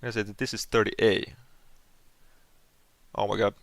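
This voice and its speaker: Gravelly, low-voiced Swedish-accented man thinking aloud. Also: flat delivery, normal speed, fairly bright tone, no room sound. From Sweden. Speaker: animation streamer. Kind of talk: casual livestream, thinking aloud while working.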